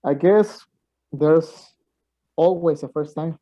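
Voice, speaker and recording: Man as lovestruck young male student, anxious, earnest, higher pitch, dull recording, amateur radio play. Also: takes it slowly.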